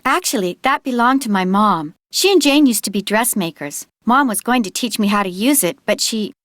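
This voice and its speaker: A woman's voice: medium pitch, expressive, moderate pace, fairly bright tone, no echo, clean studio sound, close to an everyday voice. A woman playing an anxious, grieving teenage girl in an adventure game, speaking in an earnest, hesitant way. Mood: subdued, polite. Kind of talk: scripted adventure game dialogue.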